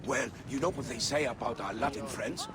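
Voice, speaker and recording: An actor playing a sly, chatty, self-pitying street merchant in a video game, ingratiating and theatrical. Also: male voice, medium pitch, expressive, fairly quick, very noisy, lightly acted. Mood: pleading, theatrical. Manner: ingratiating, theatrical, third-person boasting.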